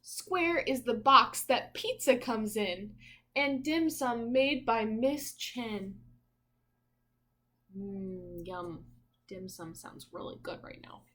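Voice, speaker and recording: A woman teacher reads a children's picture book aloud in a fairly high voice. Her pace is very slow and sing-song. She adds little exclamations and asides and invites young listeners in with questions. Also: lively intonation, sharp tone.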